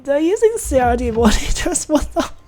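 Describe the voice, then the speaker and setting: A woman's voice: sits high, very animated, moderate pace, a little mellow. A woman giving casual, giggly, rambling commentary on a game livestream, trailing off as she chats. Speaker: livestreamer playing a visual-novel game. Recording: music behind the voice. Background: game music.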